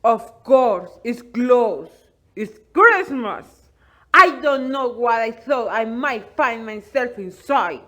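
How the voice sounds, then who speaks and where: A woman's voice: a little high, lively intonation, slow pace, sharp tone, heavily acted. A woman voicing an indignant, fearful old miser in a dramatised storybook reading, with dramatic exclamations. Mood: bewildered.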